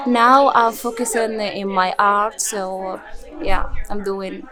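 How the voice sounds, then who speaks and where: A woman's voice: mid-range voice, fairly even intonation, takes it slowly, a crowd in the background. A woman in her twenties from rural Morocco, a Berber speaker with a Moroccan accent, answers interview questions in hesitant English with many fillers and restarts. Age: 20s. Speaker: interviewee and volunteer.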